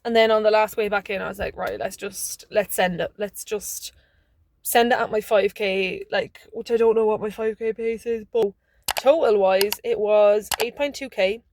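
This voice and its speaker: A woman's voice: medium pitch, fairly even intonation, moderate pace, a little mellow. Chatty woman vlogging. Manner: chatty, rambling, restarts mid-word. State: a bit hoarse and phlegmy. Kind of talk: casual vlog chat.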